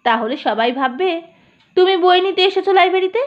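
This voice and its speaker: A woman's voice: extremely high pitch, animated, normal speed, muffled recording. A woman reading the lines of a bashful, anxious young woman in love in an audiobook story. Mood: shy. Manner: bashful, hesitant.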